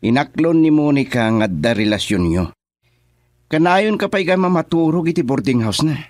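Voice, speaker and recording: A man's voice: a little high, animated, moderate pace, a little mellow, dry recording, studio quality, close to an everyday voice. An actor playing an indignant young man confronting his friends, in Ilocano radio drama with a Filipino accent. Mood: indignant, scolding.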